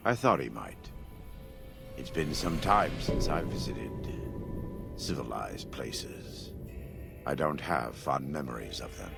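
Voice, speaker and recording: An actor playing a devout, scarred former warlord in a video game, speaking in a solemn, measured, preacher-like way with a gravelly voice. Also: male voice, animated, over music, heavily acted. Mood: reserved. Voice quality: very deep, gravelly. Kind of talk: video game character dialogue.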